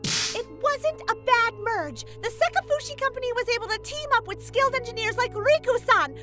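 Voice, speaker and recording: Woman acting a sweet, high-voiced young fiancée. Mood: indignant. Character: sweet, devoted young fiancée, fiercely loyal. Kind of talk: manga dub voice acting.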